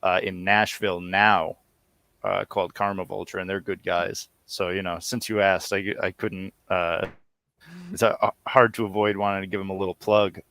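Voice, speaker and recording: A man talks casually as a guest, a little hesitant and self-deprecating. His voice is on the low side and very smooth. The recording is dry and close. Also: level tone, normal speed, fairly bright tone, no echo. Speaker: interview guest, audio series co-creator. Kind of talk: casual chat. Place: remote online call from home.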